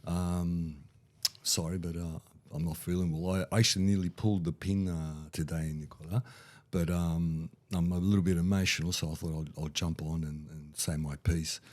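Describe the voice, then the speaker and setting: A man with a head cold, a little nasal, rambling excitably in casual sports chat as a podcast co-host. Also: sits low, moderate pace.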